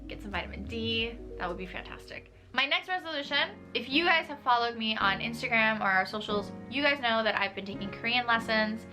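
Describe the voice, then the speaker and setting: A woman's voice: a little high, brisk, hardly any pauses, music in the background. A young woman, talking casually to camera from her bedroom, often saying "like" and laughing lightly. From New York. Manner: chatty, uses "like" a lot, laughs lightly. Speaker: vlogger. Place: small bedroom with desk and tapestry, indoors.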